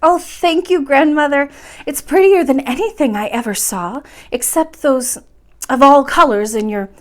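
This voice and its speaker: A woman's voice: high voice, normal speed, pitched above the performer's own voice, close to an everyday voice. A woman voicing an eager, polite, wide-eyed little princess in a storybook read-aloud, speaking in an earnest, exclaiming way. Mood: delighted.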